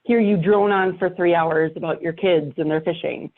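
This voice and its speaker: A woman's voice: fairly low pitch, fairly even intonation, normal speed, phone-quality sound. A woman podcaster giving advice in casual conversation, blunt and emphatic with a wry edge, over thin call audio. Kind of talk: casual panel chat.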